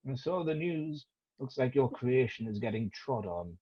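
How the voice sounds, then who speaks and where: A man playing a cranky, boastful ageing British actor in a script table read, with a husky voice and indignant exclamations. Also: medium pitch, sing-song, normal speed, muffled recording. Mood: wry.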